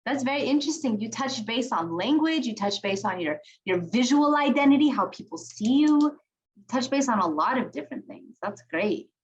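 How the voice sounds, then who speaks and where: A woman's voice: fairly high pitch, lively intonation, normal speed, lo-fi sound, slight background noise. Encouraging woman leading an online group. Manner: encouraging, affectionate, praises each speaker. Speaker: youth group facilitator. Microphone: video call.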